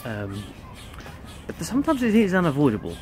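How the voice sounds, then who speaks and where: A man's voice: fairly high pitch, huge pitch swings, moderate pace. A man in his thirties talking casually to camera as a camp vlogger, outdoors on a windy campsite. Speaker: vlogger and youth camp leader. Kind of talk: casual chatty vlog narration. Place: outdoors on a campsite, under a tent awning. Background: wind and outdoor ambience.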